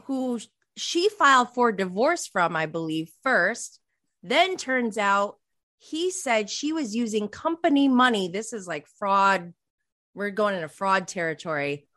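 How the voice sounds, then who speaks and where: Woman around 40 podcast co-host, casual gossipy recap chat, kinda rambles, medium pitch. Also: animated, measured pace, a bit bright. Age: about 40. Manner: rambling, gossipy, goes off on tangents.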